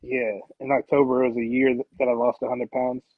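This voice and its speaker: A man's voice: medium pitch, sing-song, brisk, vocal fry, phone-quality sound, a crowd in the background. A man talking in an emphatic, slangy way as a podcast guest, often checking that the listener follows. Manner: emphatic, slangy, keeps checking "you know what I'm saying". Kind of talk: casual chat.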